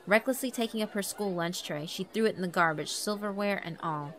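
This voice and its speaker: A woman's voice: medium pitch, a little fast. A woman narrator reading a horror visual novel as dramatic scripted storytelling.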